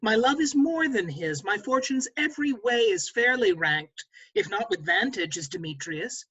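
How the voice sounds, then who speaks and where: Woman playing an animated, defiant young suitor. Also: medium pitch, moderate pace, breathy edge, dry recording. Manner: animated, assertive. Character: defiant, proud young male lover. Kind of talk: Shakespearean verse table read. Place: remote video call reading. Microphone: video call.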